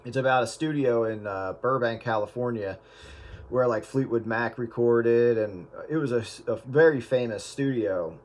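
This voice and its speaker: A man chatting casually on a radio talk show, telling an anecdote in a relaxed, conversational way. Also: mid-range voice, normal speed. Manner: anecdotal storyteller.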